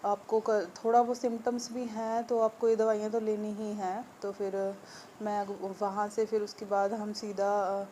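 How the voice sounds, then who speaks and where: Subdued, flat-voiced sick woman vlogger. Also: mid-range voice, a little hiss or hum. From India. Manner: hesitant, subdued, with many pauses and fillers. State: ill, sore throat. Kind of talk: casual vlog update to viewers.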